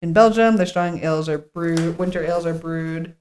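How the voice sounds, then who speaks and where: A woman's voice: fairly low pitch, normal speed, darkish tone. A woman co-hosting a podcast, reading a script aloud at a table read and dropping in casual, joking asides. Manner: jokey asides while reading. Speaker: podcast co-host.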